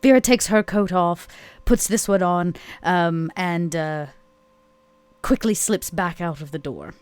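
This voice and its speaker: A woman's voice: lowish voice, a little slow, a little mellow, clear, resonant tone. A woman playing a tabletop game, casually narrating her character's actions while thinking aloud.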